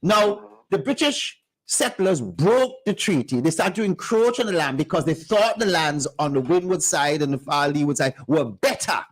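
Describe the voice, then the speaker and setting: A man's voice: on the high side, animated, moderate pace, few pauses, rough recording. A man co-hosting a radio talk show, lecturing insistently and talking over the others in a heated discussion. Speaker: radio co-host. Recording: radio studio.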